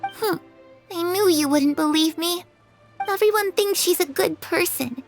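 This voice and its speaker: Young girl, very high-pitched, wistful, bitter, distrustful, video game character, music behind. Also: unhurried. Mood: bitter, disillusioned. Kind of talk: English video game voice acting.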